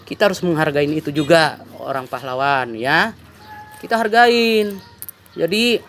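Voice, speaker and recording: A man's voice: sits high, a bit bright, slight background noise. A man with an Indonesian accent giving an informal, exhorting monologue, repeating his points for emphasis. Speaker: musician and online vlogger. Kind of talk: informal opinion monologue to camera.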